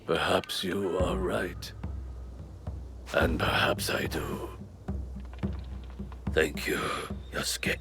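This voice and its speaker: An actor playing an aging male sword master, weary and regretful, speaking in a hushed, gravelly voice. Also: low-pitched, steady tone, drawn-out words, pauses often, in a whisper, music in the background, heavily acted. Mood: grateful. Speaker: game character. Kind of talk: video game cutscene acting. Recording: game audio with loud score.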